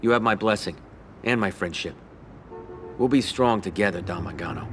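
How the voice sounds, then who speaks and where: An actor playing a confident, controlling mafia don in a video game cutscene. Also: male voice, fairly low pitch, normal speed, fairly bright tone, a little hiss or hum, natural voice. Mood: assured.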